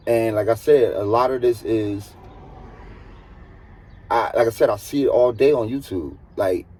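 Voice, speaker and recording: Husky-voiced New man, earnest and emphatic. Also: lowish voice, measured pace, dark tone. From United States. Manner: earnest, emphatic, repeats points for stress. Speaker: truck driver and vlogger. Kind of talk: casual advisory monologue.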